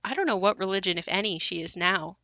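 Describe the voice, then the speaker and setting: Bright-voiced actress as a wry young woman narrator. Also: mid-range voice, expressive, fast talker, dry recording. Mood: musing. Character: young woman recalling her haunted family home, wry, reflective. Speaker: narrator and lead of an audio play. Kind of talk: first-person dramatic monologue.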